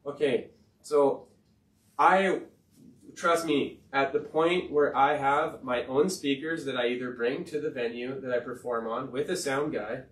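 A man with a high-pitched voice, talking in a rambling, profane and emphatic way on a casual podcast. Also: a little slow, fairly bright tone. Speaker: podcast host and rapper. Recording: roomy sound from far off the mic.

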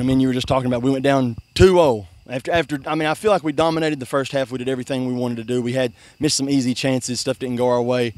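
A man's voice: medium pitch, a little mellow, no room sound. A young man, a soccer coach giving a postgame interview outdoors by a river at night, soaked from a celebratory jump, talking earnestly with many restarts and "I mean". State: soaking wet after a river jump. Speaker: high school soccer coach.

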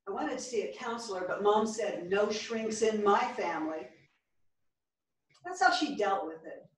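A woman's voice: medium pitch, lightly acted. A woman playing a frank, bookish, wounded character in a staged play reading, recorded from far off in a theatre. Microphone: far camera. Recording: echoey theatre, far from the microphone.

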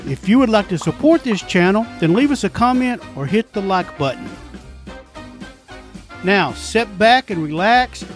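Man, scripted channel announcer, high pitch, very expressive. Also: unhurried. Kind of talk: scripted promotional intro. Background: music.

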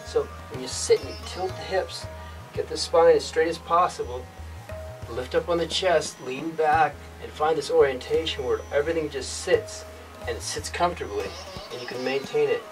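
A young man giving a meandering spiritual yoga talk in an outdoor rock cave, halting often and restarting his sentences. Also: a little high. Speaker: yoga teacher. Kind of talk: rambling spiritual teaching monologue. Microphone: far camera. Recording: distant mic with music behind. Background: instrumental music.

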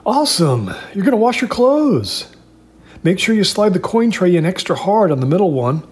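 A man's voice: high-pitched, big pitch swings, normal speed, darkish tone, pitched above the performer's own voice, exaggerated voice. A man voicing an awkward, overeager apartment building manager in an audio drama, with a stammering, oddly upbeat manner. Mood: eager. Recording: clear background noise.

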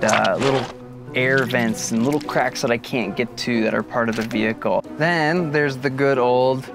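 A man in his thirties casually talking to camera from inside his camper van, relaxed and jokey. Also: medium pitch. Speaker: vlogger. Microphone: camera at close range.

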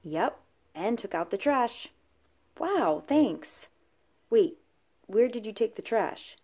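A woman's voice: mid-range voice, measured pace, warm tone. A woman reading a children's comic aloud, playfully switching tone between the characters. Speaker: read-aloud narrator. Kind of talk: children's comic read-aloud, acting out dialogue.

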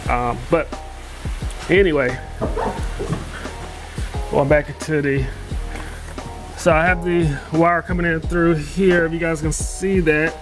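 Casual DIY guy, fairly high voice, filler-heavy self-corrections, phone-recorded in a truck, music in the background. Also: fairly even intonation, slow, pauses often, rough recording. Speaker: DIY vlogger installing a CB radio. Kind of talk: casual how-to narration while working. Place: inside a pickup truck cab and garage.

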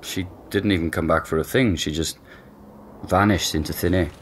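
Audio drama: actor voicing laid-back young man, deep, halting, wry. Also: a little hiss or hum, close to an everyday voice. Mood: casual. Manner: relaxed, joking, hesitant with fillers. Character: easygoing young man, boyfriend who owns the house.

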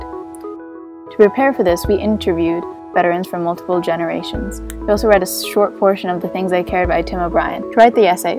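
A teenage girl with a Texan accent who introduces her class project and then reads the closing paragraph of her war essay in a calm, even voice. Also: lowish voice. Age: teens. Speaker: student. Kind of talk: reading her own essay aloud.

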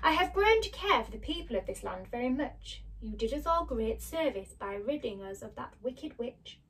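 A woman's voice: a little high, very animated, normal speed, a bit bright, recorded from a distance, a little hiss or hum, poor audio. A woman voicing a little man who poses as a grand storybook wizard, speaking formally and emphatically. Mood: apologetic.

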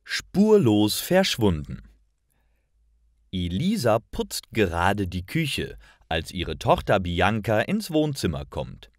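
A man reading stories for language learners in a careful, clearly articulated and expressive way. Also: lowish voice, slow, no echo, clean studio sound. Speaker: narrator of stories for German learners.

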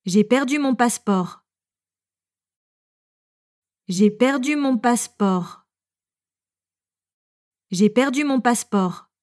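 A woman narrator reading French phrases for learners, clearly and evenly, each one repeated. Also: fairly high pitch, slow, long pauses, a little mellow. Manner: reads each phrase three times, evenly.

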